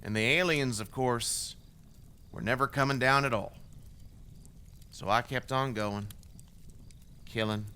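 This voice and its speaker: A man's voice: mid-range voice, slow, pauses often, bright, forceful tone, smooth. An actor playing a remorseful, bitter former extremist gunman giving testimony in an audio drama, in a blunt, wry, profane conversational way. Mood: grim, sardonic.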